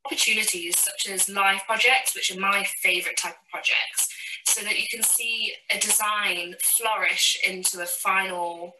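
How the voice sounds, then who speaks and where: A woman's voice: medium pitch, steady tone, moderate pace, bright, forceful tone, a little hiss or hum. Enthusiastic female student, distant and roomy. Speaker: architecture student. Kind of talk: testimonial for a promo film.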